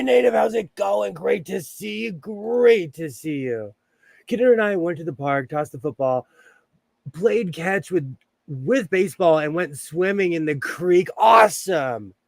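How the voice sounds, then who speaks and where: A man's voice: fairly high pitch, creaky, a bit bright, no echo. A middle-aged man with a Southern Californian accent, hosting a casual livestream and rambling between chuckles while he greets viewers. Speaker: livestream host. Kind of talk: casual chat while sewing, reading chat.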